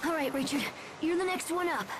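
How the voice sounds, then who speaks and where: A woman's voice: high voice, lively intonation, fairly quick, noisy background. A woman playing an eager, friendly young boy in an English-dubbed anime game. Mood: upbeat.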